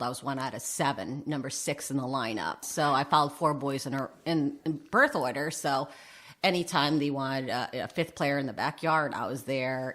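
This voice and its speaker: A middle-aged woman with a low voice talks as a guest in a casual interview. She tells her own story candidly, with self-deprecating humour. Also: a bit bright. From United States, Vietnamese immigrant family, arrived aged three. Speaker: interview guest, drag king and public speaker. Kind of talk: animated personal storytelling in casual chat.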